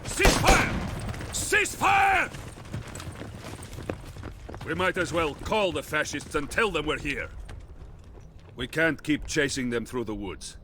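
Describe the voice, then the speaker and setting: An actor playing a stern, resolute and vengeful Soviet commander in a military game cutscene, with a grave, commanding manner. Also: male voice, fairly high pitch, big pitch swings, takes it slowly, long pauses, background noise. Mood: urgent shouting. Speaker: Soviet officer giving briefings.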